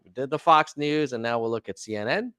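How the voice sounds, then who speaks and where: Guy doing casual news commentary, smooth clear voice, close dry mic. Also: medium pitch, brisk, fairly bright tone, no echo. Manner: chatty, offhand asides.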